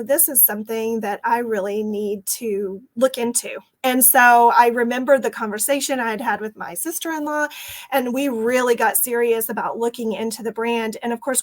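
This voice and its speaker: A middle-aged woman with a Texas accent, a franchise owner telling her story in an interview, earnest and rambling with fillers and restarts. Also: a little high.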